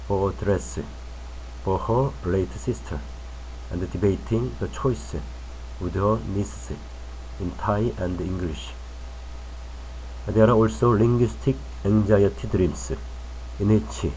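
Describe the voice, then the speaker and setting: Male narrator reading a feature article, low mellow voice, unhurried and careful delivery. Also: slight background noise, rough recording. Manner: slow, careful, measured reading. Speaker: English news reader.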